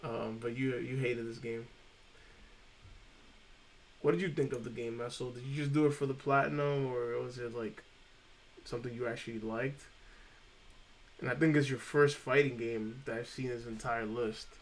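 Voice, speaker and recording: Casting note: male streamer with a gravelly, creaky voice, casual and rambling. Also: medium pitch, steady tone, normal speed, long pauses, low-quality recording. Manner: rambling, chatty, says "freaking" a lot. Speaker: streamer reviewing trophy lists. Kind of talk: casual live-stream chat.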